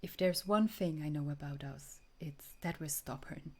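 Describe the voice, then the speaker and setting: A woman acting a tender, wistful girlfriend in an intimate romantic audio roleplay, speaking softly and breathily, often dropping toward a whisper. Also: low-pitched, moderate pace, slightly rough. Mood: tender, resigned. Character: tender, wistful time-traveling girlfriend speaking to her beloved.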